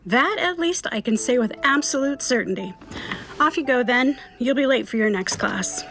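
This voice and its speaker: A composed schoolteacher in an audio drama. The voice is fairly high, the manner formal, coy and quietly knowing, with music underneath. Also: female voice, animated, moderate pace, vocal fry. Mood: coolly knowing.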